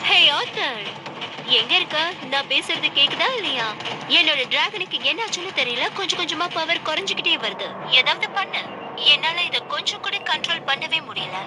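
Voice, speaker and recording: A woman's voice: sits high, expressive, brisk, background noise. A woman playing a feisty, confrontational young female dragon racer in a dubbed cartoon. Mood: alarmed. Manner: confrontational, urgent. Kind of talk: Tamil cartoon dub acting. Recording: loud music behind the voice.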